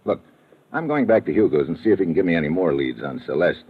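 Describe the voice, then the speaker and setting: An actor playing a tough, wry American private investigator in old radio detective style, laconic and slangy. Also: male voice, deep voice, sing-song, quick pace, husky, full character voice. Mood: wry, casual. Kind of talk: 1950s radio detective acting. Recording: muffled old radio recording with music.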